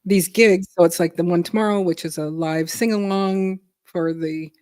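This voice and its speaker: A woman's voice: on the low side, fairly even intonation, normal speed, a little mellow, dry recording. Chatty middle-aged woman with a dry sound. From Canada. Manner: chatty, laughs often, clears throat. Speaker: host. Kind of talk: casual live-stream chat.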